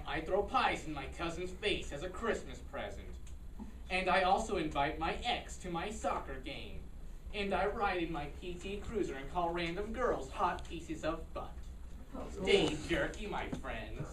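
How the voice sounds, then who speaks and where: A smug, sleazy jerk, played by a woman delivers a parody ad pitch with self-satisfaction. The voice is on the low side and sounds far off in an echoey room with faint noise. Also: expressive, measured pace. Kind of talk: amateur staged reading.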